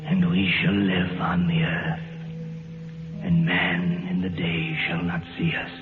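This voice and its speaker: A whispering shadow entity, very low, slow, echoey, phone-thin old broadcast under loud music. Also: male voice, narrow, tinny audio. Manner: slow, gloating, half-whispered and sung taunts. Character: menacing chorus of ancient shadow beings. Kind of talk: 1950s radio drama acting.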